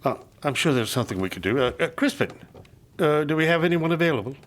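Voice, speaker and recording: A man's voice: a little high, animated, fast talker, lightly acted. An actor playing a middle-aged, domineering aristocratic father, mocking and theatrical in an audio drama. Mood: affable, distracted. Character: domineering aristocratic father, head of a grand estate.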